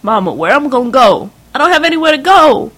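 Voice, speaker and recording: A woman's voice: high-pitched, sing-song, fast talker, a bit bright, pitched above the performer's own voice, exaggerated voice. A woman voicing a frightened young woman who pleads with her mother. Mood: pleading. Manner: pleading, shaken.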